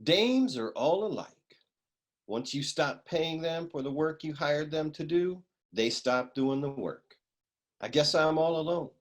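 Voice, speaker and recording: A man playing a cynical, down-on-his-luck private eye in a humorous noir radio play, world-weary and grumbling, with a husky voice. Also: on the high side, animated, measured pace. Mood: self-pitying.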